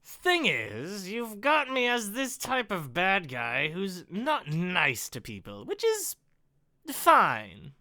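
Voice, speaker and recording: Casting: performer acting sneering, vain villain with a gun, slow mocking taunts, bright edge, dry sound. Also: mid-range voice, wildly swooping pitch, no room sound, voice pushed up, heavily acted. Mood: smug, taunting. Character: sneering, menacing gunman, pudgy, vain bully villain. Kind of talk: amateur script read-through.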